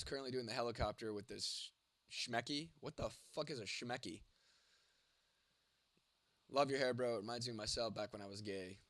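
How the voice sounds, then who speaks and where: Young male streamer, congested and a bit hoarse, laid-back chat, dry close sound. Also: mid-range voice, a bit bright, no room sound. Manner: laid-back, swears casually. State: congested, losing his voice, coughing. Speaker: livestream host. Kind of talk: casual livestream chat, reading viewer comments.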